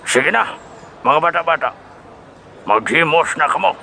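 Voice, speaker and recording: A man playing a grim general and gambler, speaking deliberately and dramatically in an audio drama. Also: a little high, animated, gravelly, pushed and bright, a little hiss or hum, full character voice. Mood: grim, determined. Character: grim male general and high-stakes fight gambler. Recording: voice under prominent music.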